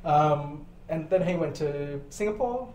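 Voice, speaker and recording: A man's voice: fairly high pitch, animated, measured pace, darkish tone. A man talking casually as a podcast guest, explaining things articulately and swearing now and then. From born in Zambia, lived in Botswana as a child, then grew up in Australia. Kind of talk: casual interview storytelling. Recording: roomy echo, clear background noise.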